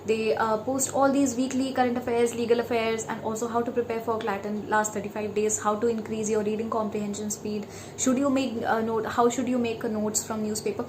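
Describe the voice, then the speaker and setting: A woman's voice: fairly high pitch, little pitch movement, a little fast, background noise. A young woman from India with an Indian accent, chatting casually as a vlogger and slipping between English and Hindi. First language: neither English nor Hindi. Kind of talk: casual chat.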